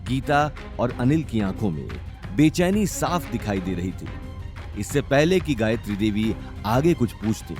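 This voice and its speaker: A man's voice: medium pitch, very animated, measured pace, over music. A man narrating a scripted audio story in a dramatic style.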